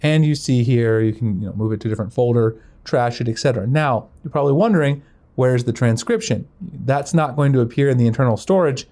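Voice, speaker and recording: Guy doing a phone how-to, soft mellow tone, casual and chatty, explains stuff step by step. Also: medium pitch, fast talker. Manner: informal, conversational, explanatory. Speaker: tech tutorial presenter.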